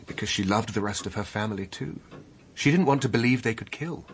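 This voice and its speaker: An actor with a British accent playing an earnest, guilt-driven doctor turned investigator in a radio drama, speaking urgently and articulately. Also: male voice, fairly low pitch, brisk, close to an everyday voice. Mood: urgent explaining. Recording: faint background noise.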